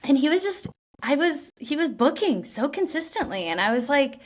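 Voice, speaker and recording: A woman's voice: high-pitched, big pitch swings, normal speed. A young woman from Washington state, an actress being interviewed, talking casually with lots of "like" fillers and restarts. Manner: chatty, laughing, many "like" fillers and restarts.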